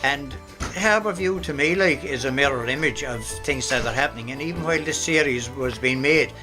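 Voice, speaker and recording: A man's voice: mid-range voice, moderate pace. A man with an Irish accent giving conversational, reflective interview answers as a drama actor. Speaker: amateur radio drama actor being interviewed. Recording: music clearly behind.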